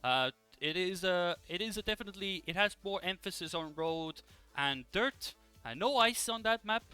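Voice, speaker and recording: A man hosting an esports broadcast in a casual live style, with hesitant, halting phrasing. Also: high-pitched, expressive, bright, forceful tone, smooth. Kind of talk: casual live presenting and commentary. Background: music in the background.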